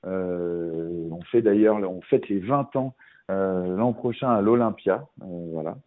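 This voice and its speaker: A man's voice: low-pitched, slow. A middle-aged man, a musician guest on a radio interview, talking casually with many fillers and restarts. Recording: tinny phone-like radio sound.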